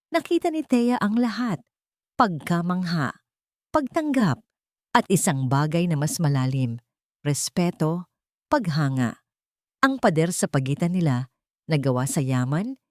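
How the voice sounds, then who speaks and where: A woman reading dramatic scripted narration as a storyteller, emotive and deliberate, in short suspenseful phrases. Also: lowish voice, darkish tone, no room sound, studio quality.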